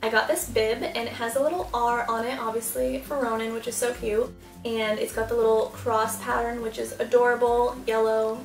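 A woman's voice: fairly high pitch, creaky, echoey room, recorded from a distance. A young woman, a mom vlogger, gushing casually about baby clothes while sitting indoors in front of a camera. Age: young adult. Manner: bubbly, gushing, lots of "super" and "like". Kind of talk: casual product haul. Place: small room indoors, seated before louvered doors.

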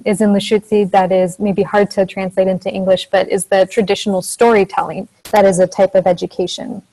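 A soft-spoken young woman, a teacher, giving an informal educational presentation over a video call. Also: mid-range voice, low-quality recording. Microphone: earphone mic on a video call.

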